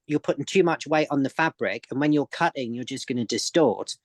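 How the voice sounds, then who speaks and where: A man's voice: medium pitch, animated, fairly quick, no echo. A man, a sewing expert guest, explaining techniques in casual chat, often repeating words as he goes.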